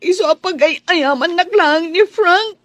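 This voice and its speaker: A wounded young Filipino housemaid in a radio drama. Her voice is very high and weepy, with slow, plaintive delivery in a dry recording. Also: a bit bright, no room sound. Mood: tearful, hurt. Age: young adult. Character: heartbroken young housemaid in love, tearful and wounded.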